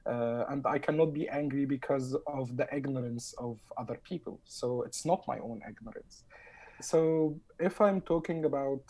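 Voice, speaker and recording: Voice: medium pitch, warm tone, rough recording. A speaker from Egypt with an Egyptian Arabic accent and Arabic as a first language, talking as a roundtable guest, hesitant with many fillers and a dry, sarcastic humor. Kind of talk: casual discussion.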